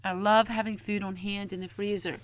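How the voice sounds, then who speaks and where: A woman's voice: mid-range voice, little pitch movement, moderate pace. Plain-spoken middle-aged woman vlogger. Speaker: homesteading vlogger and host. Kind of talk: homemaking vlog narration, explaining chores and cooking.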